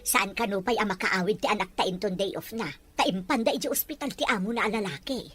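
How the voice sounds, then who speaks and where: A woman playing a sharp-tongued, gossiping older wife and mother who grumbles in an audio drama. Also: mid-range voice, fast, faint music behind, natural voice. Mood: grumbling. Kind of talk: Ilocano audio drama acting.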